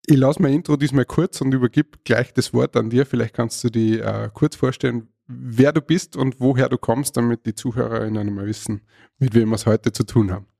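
A man's voice: mid-range voice, steady tone, fairly quick, creaky, dark tone, no echo, clean studio sound. A man hosting a podcast interview, asking questions in a friendly, hesitant way. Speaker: podcast host. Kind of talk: casual interview chat.

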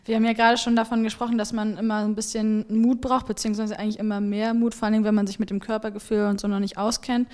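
A woman's voice: medium pitch, flat delivery, rapid-fire, hardly any pauses, darkish tone. A young woman hosting a casual podcast conversation, chatty and asking follow-up questions. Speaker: podcast host.

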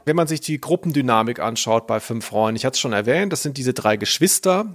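A man's voice: medium pitch, expressive, fast, soft background music. A man with speech hosting a podcast, chatting casually in a rambling, self-interrupting way. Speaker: podcast host. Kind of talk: casual podcast chat about children's audio dramas.

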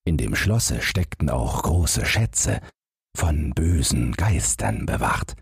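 A man reads a fairy tale in a low, very husky voice. His narration is expressive and unhurried, recorded close and dry. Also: no echo. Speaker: audiobook narrator.

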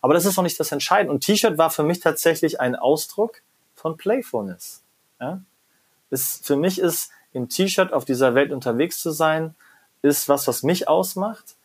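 A middle-aged German man, a professor, answering interview questions in a reflective, animated way and often ending phrases with "ja?" or "ne?". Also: on the high side, normal speed, breathy edge. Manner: reflective, animated, frequent "ja?" and "ne?" tags. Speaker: guest, professor of creativity and design.

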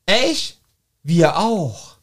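A man's voice: high-pitched, big pitch swings, very slow, a bit bright. A man chatting casually, acting out dialogue and often tagging questions onto his sentences. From Cologne, Germany. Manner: acts out dialogue, frequent tag questions. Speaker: podcast host. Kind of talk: casual chat with animated anecdotes.

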